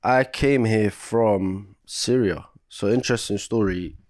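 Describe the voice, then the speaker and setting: Low-voiced London man, joking storyteller. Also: slow, a little mellow, no echo, studio quality. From Iraq. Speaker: podcast co-host. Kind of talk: casual chat.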